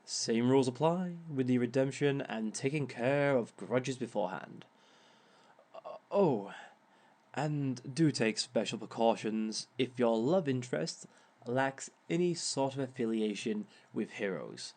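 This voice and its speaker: A man playing a suave, smug reformed villain who lectures as a relationship expert in a theatrical, measured, drawn-out way. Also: mid-range voice, expressive, a little mellow. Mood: sly, instructive. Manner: theatrical, measured, drawn-out phrasing with sly chuckles. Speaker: speaker leading a seminar session. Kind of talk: scripted in-character audio roleplay lecture.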